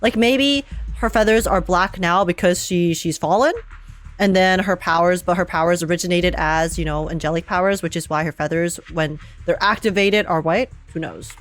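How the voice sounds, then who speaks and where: A woman's voice: lowish voice, normal speed. A woman giving casual, slangy live gameplay reactions, musing aloud and repeating her phrases as she goes. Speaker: game streamer.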